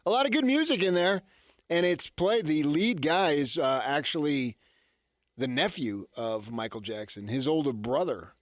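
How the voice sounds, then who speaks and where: A middle-aged man co-hosting a sports radio show, telling stories casually in a chatty, animated way. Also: on the high side, moderate pace, no room sound, studio quality.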